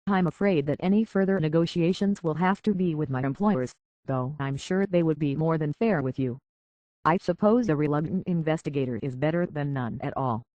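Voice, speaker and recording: A man's voice: fairly high pitch, a little fast, darkish tone, no room sound, dull audio, lightly acted. A prim British robot butler from a video game, acted by a man, speaks in a dry, close recording. He is formal and deferential, an anxious hotel concierge who minds every courtesy. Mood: pleading, worried. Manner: formal, deferential, fussily polite. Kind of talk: scripted video game character acting.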